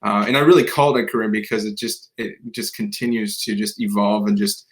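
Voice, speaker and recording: A man's voice: lowish voice, a little fast. A man hosting a podcast interview, talking in a loose, rambling way with many restarts. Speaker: podcast host. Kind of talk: conversational interview.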